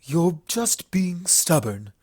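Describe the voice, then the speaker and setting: A man's voice: on the high side, very animated, slow pace, darkish tone, no room sound, studio quality, voice pushed up, exaggerated voice. A man voicing a proud, playful female alicorn pony princess in a storybook reading, articulate and haughty. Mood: scoffing. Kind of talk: storybook fan fiction character voice.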